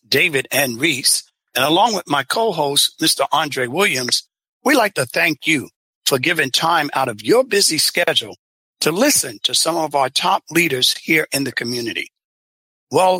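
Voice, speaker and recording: A man hosts a community interview podcast, courteous and welcoming as he questions his guest. His voice sits a bit high and sounds bright with a husky edge. The recording is dry and close. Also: no echo, poor audio. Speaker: podcast host.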